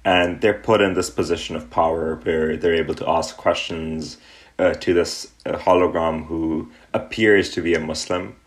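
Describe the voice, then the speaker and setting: A young man from Pakistan, an artist being interviewed, who answers thoughtfully with frequent ums and pauses. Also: low-pitched, steady tone. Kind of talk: relaxed reflective interview answers.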